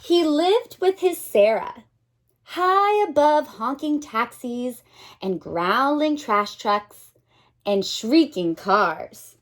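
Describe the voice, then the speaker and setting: A woman teacher reads a picture book aloud to children in an expressive, dramatic way, with pauses and asides to her young listeners. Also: high-pitched.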